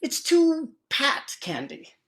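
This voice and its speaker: A man's voice: extremely high pitch, huge pitch swings, takes it slowly, creaky, a bit bright, heavily acted. Genteel, fussy radio sidekick, acted by a man. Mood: flustered, wry. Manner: arch, florid wording. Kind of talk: 1940s radio comedy-drama acting.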